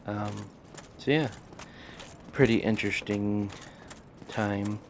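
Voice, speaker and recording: A man hosting a casual let's play, talking in a monotone and rambling with many fillers. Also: fairly low pitch, slow, a little hiss or hum. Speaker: gaming channel host.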